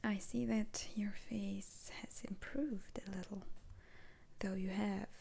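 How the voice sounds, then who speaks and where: A woman playing a soothing, faintly sly witch doctor in an ASMR roleplay, speaking in a breathy, halting whisper. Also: mid-range voice, steady tone, darkish tone, exaggerated voice. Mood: reassuring, hushed.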